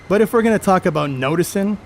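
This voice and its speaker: A man's voice: high-pitched, animated, brisk, vocal fry, slight background noise. A man playing a boastful, crude star ballplayer in a 1930s stage play, cocky and needling in folksy slang. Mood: sly, needling. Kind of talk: amateur stage play acting, 1930s period dialogue.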